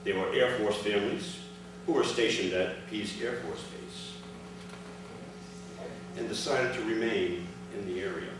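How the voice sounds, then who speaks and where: A man reads a prepared statement in a formal, deliberate way, stressing the start of his sentences. He is recorded from far off in a very echoey room, with clear background noise. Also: lowish voice. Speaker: speaker reading his father's prepared account. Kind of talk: formal prepared speech read aloud at a ceremony. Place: large indoor room, addressing a gathering. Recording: echoey, noisy hall recording from afar.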